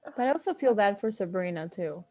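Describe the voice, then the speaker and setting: A woman's voice: mid-range voice, very animated, brisk, dark tone. A teenage woman co-hosting a podcast, rambling in casual chat full of fillers. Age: late teens. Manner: chatty, rambling, many fillers. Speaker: podcast co-host.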